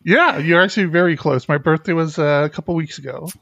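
A middle-aged man hosts a podcast, chatting candidly and haltingly, with a slight speech impediment and frequent restarts. His voice sits a little high, and the recording is dry and close, with no room sound. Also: gravelly, fairly bright tone, no room sound, muffled recording. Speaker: podcast host. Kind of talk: casual chat.

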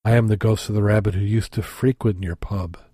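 A man voicing a calm talking male rabbit in a joke he reads on a podcast. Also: lowish voice, brisk, no room sound, studio quality, lightly acted. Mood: matter-of-fact.

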